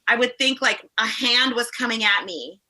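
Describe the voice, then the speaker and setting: A woman in her forties from the Caribbean, chatting as a podcast guest in a rambling, animated way. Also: on the high side, normal speed. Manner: rambling, animated, acts out other voices in her stories. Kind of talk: casual podcast conversation.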